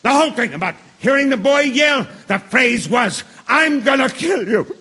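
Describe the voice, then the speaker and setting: A stubborn, bitter juror in an audio drama. His voice is high and forceful, with a rough husky edge. He badgers and argues with emphatic, combative delivery. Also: lively intonation, moderate pace, exaggerated voice. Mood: furious, defiant. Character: angry, stubborn male juror, bitter father. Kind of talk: stage-style radio drama acting.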